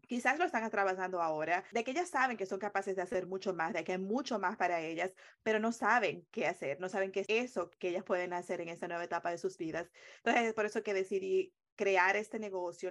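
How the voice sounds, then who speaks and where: Female coach, Dominican accent, mid-pitched, rapid and earnest explanatory interview delivery. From Dominican Republic. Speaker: business coach, interview guest. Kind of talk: conversational interview answers.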